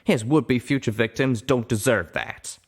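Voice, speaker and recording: Man playing a cowboy pegasus, folksy drawl, proud, stubborn, raised pitch, storybook character. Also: a little slow, breathy edge. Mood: defiant.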